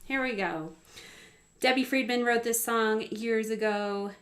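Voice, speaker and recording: A woman's voice: a little high, steady tone, a little slow, fairly bright tone. A woman speaking as a singer, casually introducing a song for people to join in with at a community concert. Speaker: singer leading a community sing-along.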